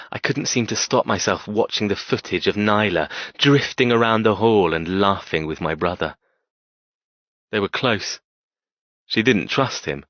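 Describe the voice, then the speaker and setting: A man narrating a dark romance audiobook in the first person as a cold, brooding, tormented man, terse and intense. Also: sits low, no room sound, muffled recording.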